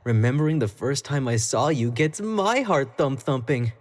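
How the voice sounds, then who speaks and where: Man acting an obsessive, theatrical young idol, wildly swooping pitch. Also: animated, normal speed, lightly acted. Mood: sweet, smitten. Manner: theatrical, swinging between sweet pleading and cold condescension. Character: obsessive young male pop idol, jealous, clingy, menacing kidnapper. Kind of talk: dramatic roleplay monologue spoken intimately to the listener.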